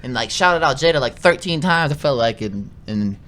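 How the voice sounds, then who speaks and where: A man's voice: medium pitch, lively intonation, moderate pace. A man co-hosting a podcast, giving opinions in casual, blunt, slangy talk with some swearing. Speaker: podcast co-host. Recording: noticeable background noise, room ambience.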